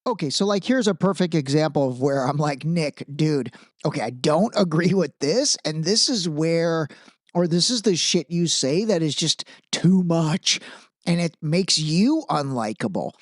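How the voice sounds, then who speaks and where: A man's voice: a little high, animated, a little slow, dry recording. Emphatic male podcast host, dry close sound. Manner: informal, emphatic, repeats phrases for emphasis. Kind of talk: casual opinionated commentary on an interview.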